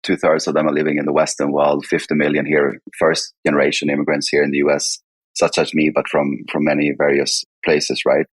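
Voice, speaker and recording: A man's voice: rumbling low, completely flat delivery, a little fast, husky, no room sound. A man from northern Sweden with a Swedish accent, answering interview questions conversationally as a podcast guest, sometimes repeating words as he explains. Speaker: podcast guest, company chief executive.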